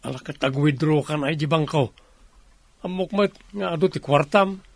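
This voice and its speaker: A man's voice: fairly high pitch, moderate pace, creaky, no room sound. A man playing a spoiled, pushy younger brother in an audio drama. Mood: wheedling, pushy.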